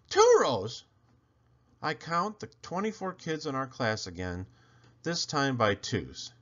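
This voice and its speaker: A man reading a picture book aloud in an animated storytelling style, with theatrical emphasis on questions and lists. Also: medium pitch, lo-fi sound. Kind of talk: animated children's read-aloud narration.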